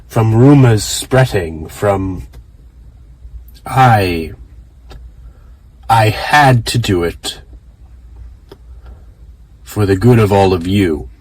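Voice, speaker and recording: Man as weary father, husky, slow, halting, earnest, apologetic, audio drama. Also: lowish voice, a little mellow. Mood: guilty, halting. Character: weary, apologetic father consoling his child. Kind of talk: fan audio drama acting.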